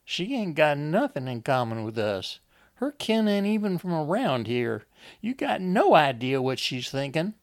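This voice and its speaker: A man's voice: a little high, very animated, measured pace, voice pushed up. A man voicing a wounded, frightened young country woman, pleading and insistent in an audiobook story. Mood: urgent, insistent. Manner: folksy, insistent, urgent.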